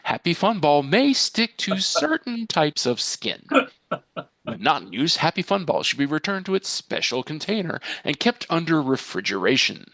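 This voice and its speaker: A man's voice: a little high, sing-song, normal speed, fairly bright tone, no echo, full character voice. A man playing an emphatic, mock-serious television commercial announcer for a toy, in a parody read. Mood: solemn warning. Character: booming enthusiastic toy commercial announcer reading grave disclaimers.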